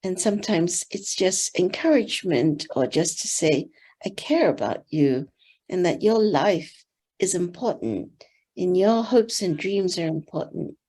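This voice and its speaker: An older woman from Singapore whose first language is Teochew, giving gentle, thoughtful interview answers with soft pauses. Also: fairly low pitch, slightly rough. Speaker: interview guest, board member.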